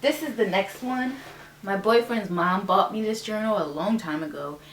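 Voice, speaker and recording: A woman's voice: mid-range voice, moderate pace, a little hiss or hum. Chatty young woman in an echoey room. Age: 20s. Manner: chatty, upbeat, rambling. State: stuffed-up nose. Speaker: vlogger. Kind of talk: casual show-and-tell chat. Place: her bedroom.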